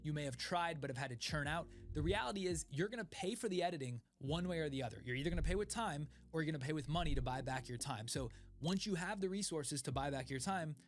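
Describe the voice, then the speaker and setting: A young man in a dim home studio, delivering a scripted talking-head explainer about his content workflow, energetic and gesturing with his hands. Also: on the high side. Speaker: content creator. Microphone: studio mic in front.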